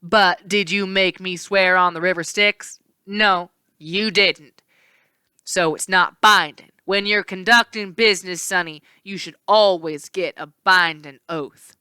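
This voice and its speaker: A woman voicing a smug, scheming male rancher villain who talks in a slow, condescending drawl. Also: lowish voice, steady tone, pushed and bright, smooth, dry recording.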